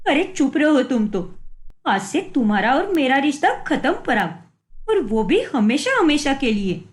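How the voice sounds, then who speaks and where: A woman's voice: high voice, big pitch swings, unhurried. A woman voicing an earnest, devoted young wife in a cartoon moral story, speaking emphatically. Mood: indignant. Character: devoted young wife, supportive, righteous when wronged.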